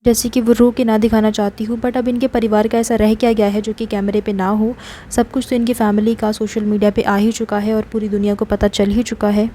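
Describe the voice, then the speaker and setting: A woman's voice: medium pitch, flat delivery, fairly quick, dark tone. An Indian woman giving informal vlog commentary, repeating and rephrasing her points for emphasis. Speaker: gossip vlogger. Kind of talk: informal commentary narration in Hindi mixed with English words. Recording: faint background noise.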